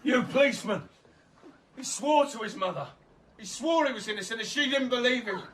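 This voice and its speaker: A woman's voice: fairly high pitch, expressive, fairly quick, recorded from a distance, slight background noise, lightly acted. A woman playing an anguished old mother in a radio drama, grieving for her son and hurling accusations. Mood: hysterical, accusing. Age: older.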